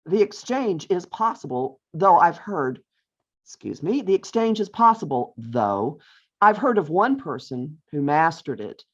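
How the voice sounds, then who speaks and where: A middle-aged or older woman reading her own humorous essay aloud with deliberate phrasing and wry emphasis, as an author on a video call. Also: low-pitched, very animated, breathy edge. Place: virtual author talk over video call. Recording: dull, lo-fi online call audio.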